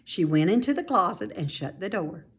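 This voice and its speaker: A woman reading a children's story aloud expressively, with dramatic, drawn-out emphasis on key words. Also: on the low side, expressive, slightly rough. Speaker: storybook reader.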